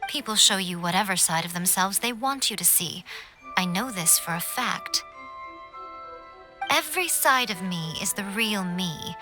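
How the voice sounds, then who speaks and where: An actress playing a sly, playful shrine priestess who speaks in a coy, unhurried, teasing way, in a video game cutscene, with music behind her. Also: mid-range voice, expressive, lightly acted.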